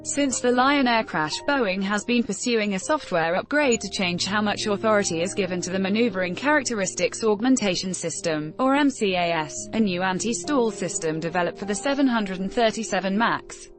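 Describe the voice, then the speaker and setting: A woman's voice: mid-range voice, lively intonation, moderate pace, hardly any pauses. A woman reading a scripted news report aloud as narrator. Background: background music.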